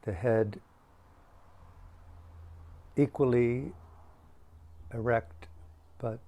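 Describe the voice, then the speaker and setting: A man leading a guided meditation as a teacher, speaking in a hushed, deliberate way with long pauses between short phrases. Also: medium pitch, fairly even intonation.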